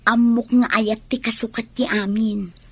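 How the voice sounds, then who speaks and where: A woman's voice: on the high side, little pitch movement, measured pace, breathy edge, no echo. A woman playing a coy young student in a radio comedy drama. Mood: matter-of-fact. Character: Bianca, coy young woman student charming an older benefactor.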